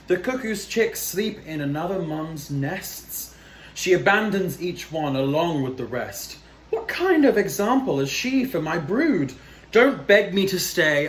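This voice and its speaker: A man's voice: sits high, fairly bright tone, reverberant, slight background noise, exaggerated voice. A man voicing a prim, disapproving mother rabbit from a storybook, indignant and moralising.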